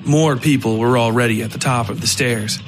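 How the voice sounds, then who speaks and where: A man narrates a scripted horror story for a podcast, in a middle-pitched voice. Music runs clearly behind the narration. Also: fairly even intonation, brisk.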